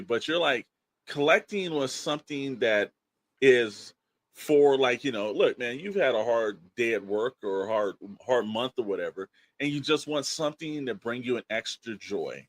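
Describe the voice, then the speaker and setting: A man with a medium-pitched voice talks as a podcast host in a very dry recording. His casual chat is opinionated and rambling, slipping into quoted asides with exasperated emphasis. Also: fairly bright tone, no echo.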